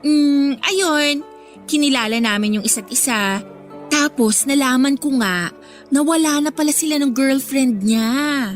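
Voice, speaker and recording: A woman's voice: high voice, a little slow, soft background music. An actress playing a coy, placating teenage Filipino schoolgirl, hesitant and pleading, in a radio drama.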